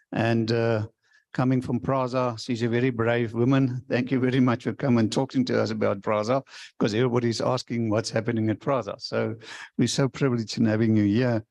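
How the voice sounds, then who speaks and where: Male forum chair, mid-pitched, relaxed introductions. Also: creaky. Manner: warm thanks, loose phrasing with fillers. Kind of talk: event hosting.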